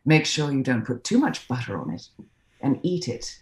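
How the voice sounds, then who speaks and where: A woman's voice: very deep voice, sing-song, normal speed, reverberant. A woman playing a polite, formal, overly courteous character from a video game. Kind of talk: re-enacting video game lines.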